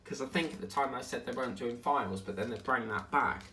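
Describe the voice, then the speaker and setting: A man's voice: mid-range voice, quick pace, sharp tone, a little hiss or hum. A man with an Essex accent tinged with South African, chatting in a rambling way about his day as he works at his craft table. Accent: Essex English with a South African tinge. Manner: chatty, digressive, hums and sings to himself. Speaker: crafting channel host. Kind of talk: rambling casual chat while working.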